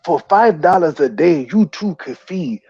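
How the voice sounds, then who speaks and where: A man's voice: fairly high pitch, expressive, a little slow, warm tone, slightly rough. A man hosting a livestream, bantering in a mock-exasperated, teasing way. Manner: mock-exasperated, teasing, repeatedly addressing "ma'am". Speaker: livestream host and commentator. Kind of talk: bantering livestream commentary in a put-on comic accent. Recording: dull lo-fi roomy stream audio.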